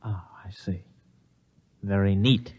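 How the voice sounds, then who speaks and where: A man's voice: fairly low pitch, steady tone, takes it slowly, a little mellow, husky. An actor playing a skeptical, plain-spoken male space pilot in a 1950s radio drama, with a wary, colloquial way of talking. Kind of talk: 1950s radio drama acting and first-person narration. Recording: dull vintage 1950s radio recording.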